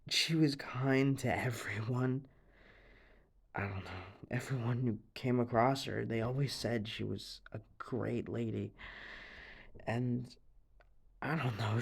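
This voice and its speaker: A young man playing an anxious, haunted teenage boy in an audio drama monologue, speaking haltingly with stammers, sighs and a creaky voice. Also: medium pitch, heavily acted. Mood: subdued, wistful. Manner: halting, stammering, sighing, nervous breathy laughs.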